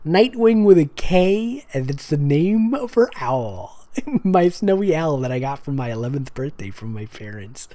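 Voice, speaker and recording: A man's voice: a little high, very animated, a little slow, mellow. A man reading fiction aloud in an amused, sarcastic way, with casual mocking asides. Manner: amused, sarcastic, breaks off to comment. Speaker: host reading fan fiction aloud with commentary.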